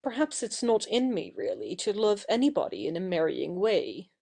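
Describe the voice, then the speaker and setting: A woman playing a playful, wilful young actress in an audio play, brisk and coquettish with her suitor. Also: mid-range voice, big pitch swings, creaky, warm tone. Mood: winning, playful.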